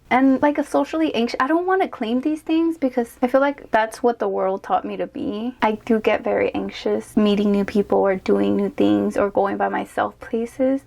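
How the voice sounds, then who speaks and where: A woman's voice: fairly high pitch, gravelly, darkish tone. A woman in her late twenties chatting casually on her vlog while doing skincare, rambling, laughing and correcting herself, with "like" in almost every sentence. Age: late 20s. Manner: filler-heavy with "like". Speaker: vlogger.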